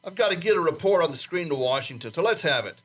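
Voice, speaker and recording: A man acting an anxious, ageing, gruff test flight chief in a radio drama, with an emphatic delivery. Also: fairly high pitch, lively intonation, fast talker, fairly bright tone. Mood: brisk, impatient.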